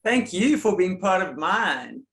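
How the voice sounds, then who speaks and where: A woman with a deep voice hosting a casual, heartfelt chat, gushing and appreciative in her words. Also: big pitch swings, a little slow, a bit bright, roomy sound, slight background noise. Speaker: host.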